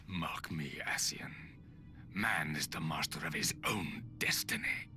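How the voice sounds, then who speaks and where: Very deep, stern emperor. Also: male voice, unhurried, in a whisper, music in the background. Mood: stern defiance. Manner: grave, imperious, clipped. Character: proud, stern male emperor, commanding and defiant. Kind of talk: video game drama acting.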